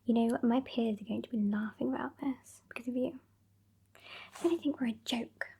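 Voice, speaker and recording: A woman playing a haughty, impatient British female vampire in an ASMR roleplay, whispering and speaking softly in a breathy, condescending way. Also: fairly high pitch, full character voice. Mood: exasperated, disdainful. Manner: slow, condescending, withering. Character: sassy, haughty, impatient female vampire scolding her weakling fledgling.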